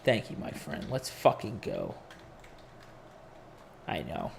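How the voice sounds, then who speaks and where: A man's voice: very deep voice, wildly swooping pitch, slow pace, gravelly, slight background noise. A man in his forties hosting a crypto live stream, talking casually and candidly, rambling with profane asides. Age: 40s. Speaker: crypto podcast host.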